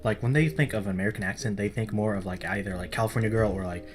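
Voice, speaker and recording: A young man with a low voice speaks in a flat, monotone way. He chats casually, with soft music playing underneath. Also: racing. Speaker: co-host. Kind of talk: casual chat.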